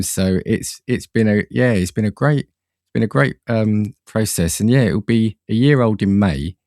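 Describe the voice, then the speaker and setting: A man's voice: lowish voice, a little mellow, no room sound, clean studio sound. A man speaking casually as a guest on a podcast, chatting like a fellow podcaster. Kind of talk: casual chat.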